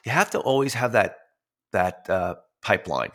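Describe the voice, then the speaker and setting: A man hosting a podcast while he has a head cold, which leaves him nasal. He talks in a halting way, with many fillers and restarts. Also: on the low side, expressive, a bit bright. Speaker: podcast host. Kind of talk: casual interview, reading sponsor spots.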